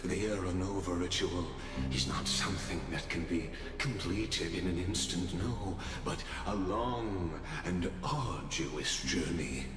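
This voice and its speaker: A man's voice: low-pitched, slow. An actor playing a grandiose, playful male herald who declaims and teases in a theatrical manner. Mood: solemn. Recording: echoey game audio with loud music.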